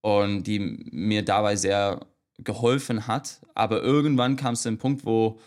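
A man's voice: fairly low pitch, steady tone, unhurried, darkish tone. A young man in his twenties, a guest coach talking casually in an interview, hesitant with many restarts and fillers, dropping in English words.

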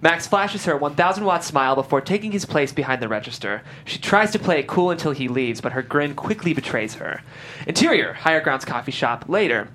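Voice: mid-range voice, animated, fairly quick, fairly bright tone, a little hiss or hum. A reader delivering scripted stage directions as narrator at a table read.

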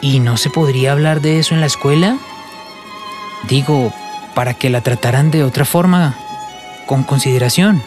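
A man's voice: medium pitch, moderate pace, long pauses, music in the background, close to an everyday voice. An actor playing a polite, ambitious young man in a radio soap opera, with a Colombian accent and a respectful, sincere manner. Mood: attentive, concerned. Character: polite, earnest, ambitious young male student waiter.

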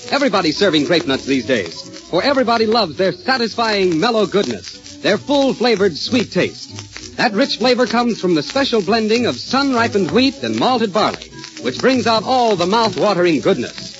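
An American man delivers a polished, eager radio pitch in 1930s style. His voice is high for a man and swings widely in intonation. The vintage broadcast recording is dull and muffled. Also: very animated, normal speed, soft background music. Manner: enthusiastic, polished salesmanship. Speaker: radio announcer and cast member.

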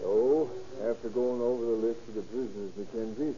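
Man playing a dark-voiced American jail officer. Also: mid-range voice, fairly quick, natural voice. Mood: formal. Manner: formal, matter-of-fact. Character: businesslike male jail officer in charge of prisoners. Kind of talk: 1930s radio crime drama acting. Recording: dull, noisy old radio recording.

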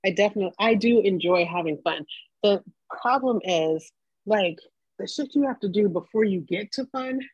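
A woman's voice: medium pitch, lively intonation, moderate pace, a little mellow, slightly rough, no echo. A middle-aged woman co-hosting a casual podcast chat, candid and emphatic, swearing offhandedly. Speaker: podcast co-host. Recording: dull, dry lo-fi sound.

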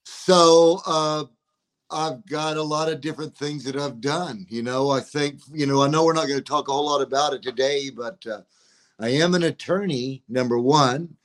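A man of around sixty, a guest on a podcast, talking in a courteous, measured, slightly formal way. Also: fairly high pitch. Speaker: guest, attorney and rapper. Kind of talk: casual interview talk.

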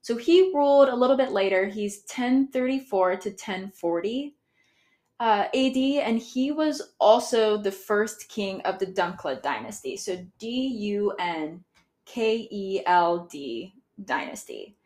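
A woman's voice: medium pitch, animated, a little slow, darkish tone. A woman with an American accent hosting a history podcast, explaining casually, correcting herself and often laughing. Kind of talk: casual educational talk.

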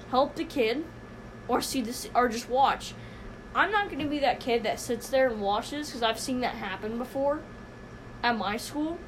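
Excitable rambling teen girl. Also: on the high side, slight background noise, poor audio. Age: teens. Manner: rambling, excitable, lots of fillers. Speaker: podcast host. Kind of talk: casual chat. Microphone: phone podcast app recording.

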